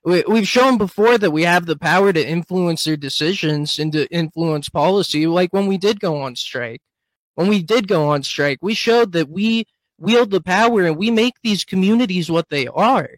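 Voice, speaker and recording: A man's voice: sits high, normal speed, no room sound, rough recording. A man speaking earnestly in a casual group voice chat, rallying others to organize. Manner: earnest, rallying, calls for a union. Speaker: Reddit moderator in a group voice chat.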